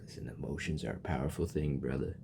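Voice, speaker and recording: A man's voice: very deep voice, fast talker, gravelly, background noise. A man doing casual, blunt and joking reaction commentary as he plays a video game. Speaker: gaming video creator. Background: faint game music.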